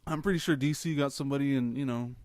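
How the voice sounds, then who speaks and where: A young man co-hosting a casual podcast, talking bluntly with lots of swearing and animated opinions. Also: medium pitch, fast talker, no room sound. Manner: blunt, profane, animated fight talk. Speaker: podcast co-host. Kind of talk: casual chat.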